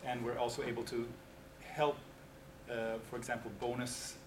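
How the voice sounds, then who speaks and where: A man's voice: mid-range voice, level tone, normal speed. A man at a seminar introducing himself briefly and factually. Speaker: seminar participant. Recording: echoey room, loud noise.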